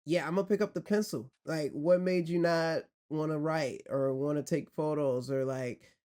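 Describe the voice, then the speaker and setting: Relaxed young male podcast host. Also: on the high side, normal speed. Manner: relaxed, friendly, slangy questions. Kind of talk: casual interview chat.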